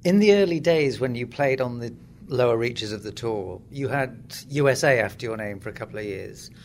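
A man interviewing someone conversationally, putting articulate, probing questions in a measured way. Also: medium pitch, animated. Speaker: interviewer, sports journalist.

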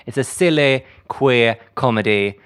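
A man's voice: mid-range voice, a little slow, a bit bright, clean tone. A man chatting casually as a comedian guest in a radio interview, with playful, dry humour. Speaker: comedian guest promoting a show.

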